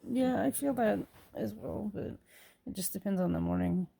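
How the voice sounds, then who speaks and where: A woman's voice: medium pitch, normal speed, gravelly, mellow, a little hiss or hum. A woman chatting casually at home as she films a family vlog. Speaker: vlogger filming family morning. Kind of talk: casual chat. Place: room in a home.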